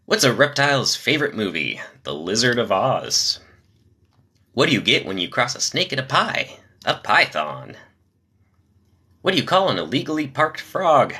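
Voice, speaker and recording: A young man reading joke after joke on a live stream, pitching his voice low from the diaphragm to spare his nasal voice. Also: breathy edge. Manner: rapid-fire joke delivery, lively.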